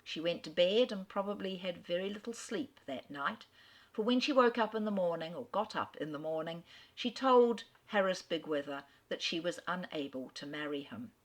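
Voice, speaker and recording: Middle-aged woman, literary speaker, fairly low, measured storyteller with dry wit. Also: animated. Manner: clear, measured storyteller with wry asides. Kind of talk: recorded talk on an author.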